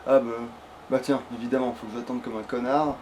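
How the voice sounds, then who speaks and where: A man's voice: mid-range voice, fairly even intonation, a little fast, a little mellow. A man giving casual, grumbling commentary as he plays a video game, swearing freely. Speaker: gamer playing in a let's play. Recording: noisy home game recording.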